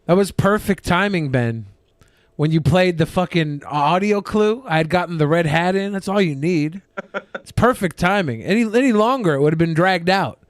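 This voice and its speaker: A man with a high, very lively voice jokes around in casual podcast chat, repeating his teasing lines for laughs. The sound is dry and close. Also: moderate pace, no room sound. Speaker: podcast panelist.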